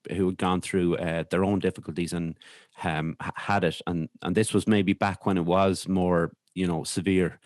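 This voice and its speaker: Irish guy on a podcast, low voice, kinda halting, chats openly, dry close sound. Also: little pitch movement, darkish tone, no echo. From Ireland. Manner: hesitant, halting, repeats words mid-sentence. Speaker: podcast guest. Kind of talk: candid conversational interview.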